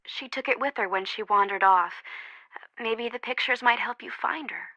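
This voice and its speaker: A woman's voice: a little high, moderate pace, bright, forceful tone, dry recording, muffled recording. An actress playing a worried, guarded young woman in a video game, friend to a missing girl. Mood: wary, uneasy.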